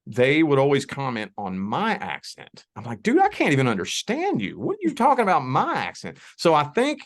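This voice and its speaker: A man from the Indiana and Kentucky border with a Southern accent, a guest giving a folksy, reassuring tarot reading on a video call. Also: mid-range voice. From Southern Indiana near Louisville, Kentucky. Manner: folksy, reassuring, drawn-out emphasis. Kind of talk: spiritual conversation and tarot reading.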